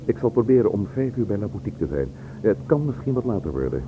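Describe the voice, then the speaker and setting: A composed, calm man in an old radio drama. The voice sits very low and moves quickly in clipped phrases, over clear background noise. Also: narrow, tinny audio. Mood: reassuring, brisk. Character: calm, reassuring man, brother of a murder victim. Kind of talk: Dutch radio play dialogue. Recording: noisy old radio recording.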